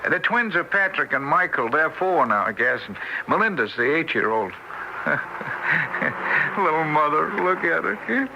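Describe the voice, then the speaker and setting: A man's voice: fairly high pitch, very animated, a little slow, gravelly, dull audio, background noise, full character voice. An actor playing a timid, fretful ghost of an old father, hesitant and stammering, in an old-time radio drama. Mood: fond, wistful.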